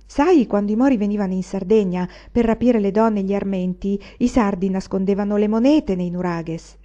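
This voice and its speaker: A woman's voice: medium pitch, normal speed, mellow, close to an everyday voice. A woman voicing a superstitious, talkative old female servant in an audiobook. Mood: storytelling. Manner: fussy, chattering storyteller. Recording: dull lo-fi recording.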